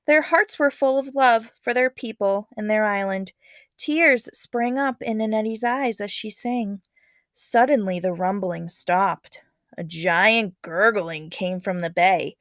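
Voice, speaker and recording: A woman's voice: medium pitch, slow pace, fairly bright tone, no echo. Woman reading a storybook aloud, dry recording. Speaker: teacher reading aloud to students. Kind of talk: storybook read-aloud with casual commentary.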